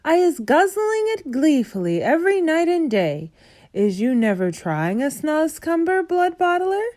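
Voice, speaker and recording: A woman voicing a gentle, clever male giant who speaks with playful, mangled grammar and made-up words. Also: high-pitched, sing-song, a little slow, dry recording, studio quality, voice pushed up. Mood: coaxing, playful.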